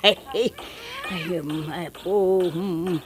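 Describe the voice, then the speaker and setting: A woman's voice: fairly low pitch, huge pitch swings, drawn-out words, heavily acted. Woman acting emotional Ilocano mother, noisy recording. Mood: tearful relief. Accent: Ilocano Filipino. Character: hardworking Filipino mother, emotional, weary and determined. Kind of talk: audio drama acting.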